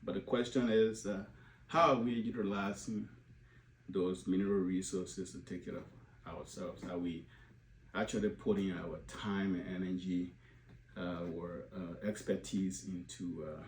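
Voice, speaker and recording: A deep-voiced man in his forties speaks slowly and haltingly to the supporters of his organization, full of filler sounds and restarts. The recording is roomy, with a faint hum behind him. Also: steady tone. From Liberia. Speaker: organization leader addressing supporters. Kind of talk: unscripted video address.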